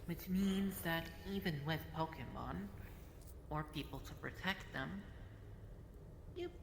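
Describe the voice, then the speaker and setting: A woman with a low voice role-plays in a measured, halting manner, explaining things carefully. The sound is roomy, with clear noise behind her. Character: earnest, diplomatic young queen. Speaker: role-play participant. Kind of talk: improvised in-character role-play dialogue. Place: indoor room, online voice chat. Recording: roomy, noisy amateur microphone.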